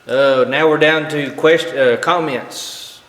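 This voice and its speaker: A man chairs a city meeting as mayor, brisk and firm as he moves the agenda along. His voice carries a roomy echo with a long tail of reverb from the chamber. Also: on the high side, fairly even intonation, fairly bright tone. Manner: firm, brisk gavel-keeping. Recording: echoey meeting room.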